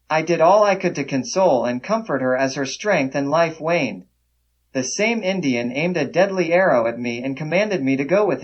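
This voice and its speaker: A man's voice: fairly high pitch, lo-fi sound. A man voicing a memoirist who solemnly recounts his boyhood capture and his mother's death, read as a dramatic first-person account. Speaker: voice actor reading a memoir.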